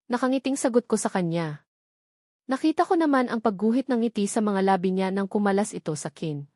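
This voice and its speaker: A woman's voice: mid-range voice, darkish tone, no room sound, clean studio sound. A woman with a Filipino accent reads a first-person romance novel as a dramatic narrator. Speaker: audiobook narrator.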